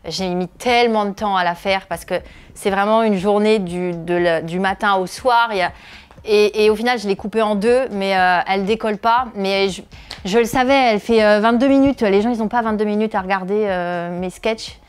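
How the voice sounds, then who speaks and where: Thirtysomething comedian lady from northeast france, hesitant, lots of ums, smooth clear voice. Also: medium pitch, normal speed. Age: 30s. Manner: hesitant, many filler sounds, self-described shy. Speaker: comedian and video creator. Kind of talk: interview answers.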